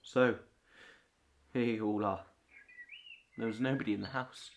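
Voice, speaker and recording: A man's voice: fairly low pitch, fairly even intonation, a little hiss or hum. A man playing a weary, hesitant father home from war in an amateur audio drama. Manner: halting, hesitant.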